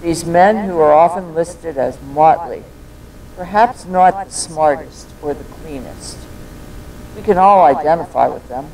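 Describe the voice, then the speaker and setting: An older storyteller reads a prepared devotional reflection with personal reminiscence. The voice is dark and mellow, of middling pitch. A faint rumble sits underneath the recording. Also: unhurried, low-quality recording.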